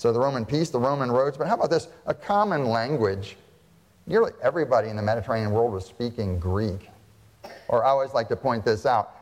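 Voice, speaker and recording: An American pastor preaching a sermon, talking to the congregation in a conversational way and asking them frequent questions. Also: male voice, mid-range voice, expressive, a little fast, long reverb tail. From United States. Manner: conversational, asks the audience questions.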